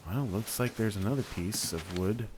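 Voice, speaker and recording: A man's voice: fairly low pitch, normal speed, noisy background. A young man hosting a horror let's play, reading game text aloud with wry asides. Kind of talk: casual gameplay commentary.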